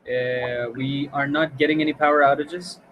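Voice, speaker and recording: A man's voice: fairly high pitch, steady tone, normal speed, narrow, tinny audio, a little hiss or hum. A man with a Pakistani accent, an online English tutor chatting casually on a video call, often restarting and repeating words.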